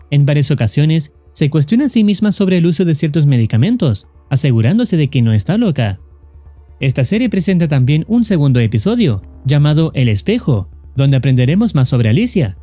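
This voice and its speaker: A man's voice: fairly high pitch, mellow, dry recording. A man narrating a scripted story recap, explaining scenes as a narrator. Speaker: narrator of a horror series recap.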